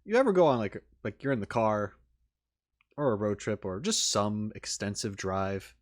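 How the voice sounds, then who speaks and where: A man's voice: fairly low pitch, animated, moderate pace. A man giving casual, unscripted reaction commentary as a video host, rambling informally with emphatic enthusiasm.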